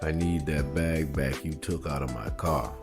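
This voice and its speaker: A man playing a menacing, coldly regretful mob enforcer in an audio story, speaking slowly and gravely over music. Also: rumbling low. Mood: grave menace. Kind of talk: dramatic audio story acting. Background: background music.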